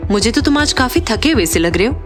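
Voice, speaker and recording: A woman's voice: fairly high pitch, expressive, quick pace, soft background music, natural voice. An actress playing a determined, eager young woman investigator in an audio drama, with an insistent, familiar manner. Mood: friendly. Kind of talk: Hindi audio drama acting.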